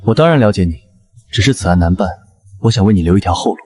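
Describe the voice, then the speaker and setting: Low, breathy, ailing young prince played by a man. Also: expressive, fast talker, warm tone, soft background music. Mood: tender concern. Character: ailing young prince, gentle yet quietly scheming.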